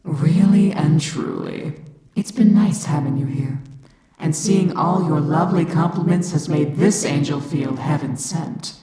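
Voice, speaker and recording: A twisted angel lady acted by a woman talks with dry, dark politeness in an audio play. The delivery is very unhurried and mellow, set in a clearly echoing room. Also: sits low, soft background music. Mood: fond, measured. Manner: measured, wry, darkly polite. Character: slow, dry-humoured, twisted female angel. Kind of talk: scripted audio drama dialogue.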